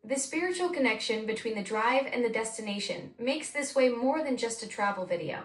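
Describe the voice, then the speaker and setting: An effusive, eager synthetic woman's voice, pitched a little high, gushing with praise in a chatty conversation. She sounds set back from the mic in a roomy space, with faint music underneath. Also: animated, a little fast, recorded from a distance. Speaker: AI voice assistant co-host. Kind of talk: conversational chat.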